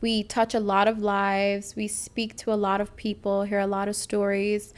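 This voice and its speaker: A woman's voice: mid-range voice, monotone, normal speed, smooth. A woman in her thirties from the United States co-hosting a podcast, chatting candidly and informally about her own experiences. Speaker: podcast co-host, nurse practitioner. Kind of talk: casual chat, personal storytelling.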